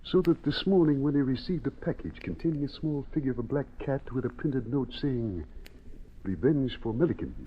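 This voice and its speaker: An actor playing an earnest, grave archaeologist who lectures formally and carefully, in old-time radio drama style. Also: male voice, mid-range voice, animated, moderate pace, lightly acted. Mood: grave explaining. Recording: muffled, noisy old radio recording.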